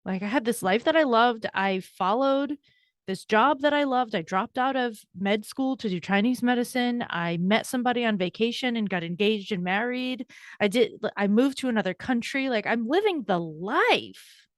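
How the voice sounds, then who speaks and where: Woman, forties, podcast guest, candid, brisk, self-deprecating, dry close sound. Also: a little high, animated, no room sound. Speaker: guest, burnout coach and speaker. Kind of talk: podcast interview, conversational storytelling.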